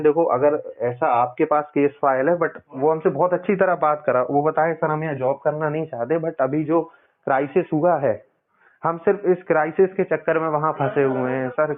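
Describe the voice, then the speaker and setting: Male caller, slightly high-pitched, explains insistently and circles back over points, narrow phone-line sound. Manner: insistent, explanatory, repeats himself. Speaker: caller, loan customer. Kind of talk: casual phone conversation. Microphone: phone call.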